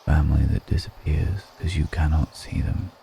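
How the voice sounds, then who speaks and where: A man playing a brooding, melancholic undead vampire in an intimate ASMR roleplay, speaking in a measured, poetic murmur with pauses between phrases. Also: fairly even intonation, slight background noise, full character voice. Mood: solemn, melancholic. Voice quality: very deep, dark, creaky murmur.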